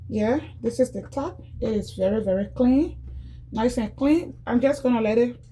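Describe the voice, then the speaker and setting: A woman's voice: fairly high pitch, moderate pace, mellow, slight background noise. A woman chatting casually in a home how-to vlog, repeating herself and calling her viewers guys. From Liberia. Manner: chatty and repetitive, often addresses viewers as guys. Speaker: YouTuber demonstrating cleaning. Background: background music.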